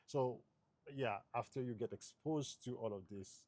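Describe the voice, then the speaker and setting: A man's voice: medium pitch, a little slow, darkish tone, no echo. A man from Haiti, in his thirties, answering interview questions in a thoughtful, hesitant way with many fillers and restarts.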